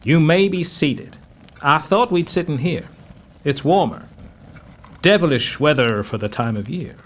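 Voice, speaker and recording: A man's voice: on the high side, animated, a little slow, fairly bright tone, slight background noise. A man playing a brusque, impatient, dismissive President of the United States in an audio drama. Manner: dismissive, commanding. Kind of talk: fan-made audio drama acting.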